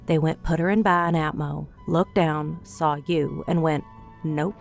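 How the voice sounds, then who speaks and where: A woman as a low-voiced, sardonic female scout. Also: steady tone, unhurried, creaky, soft background music. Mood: exasperated. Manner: dry, sarcastic. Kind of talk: audiobook character acting.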